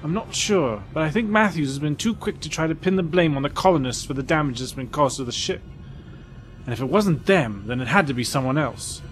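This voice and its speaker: A man's voice: fairly high pitch, animated, brisk, faint music behind, close to an everyday voice. A man playing a wary, insistent spaceship crewman in a sci-fi audio drama.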